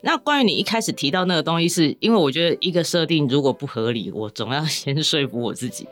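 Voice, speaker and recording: A Taiwanese woman with a slightly low voice and very animated intonation, chatting casually as a guest author. She explains her ideas wryly and jokingly, with faint music under the talk. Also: fast. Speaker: novelist, podcast guest. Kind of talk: casual chat.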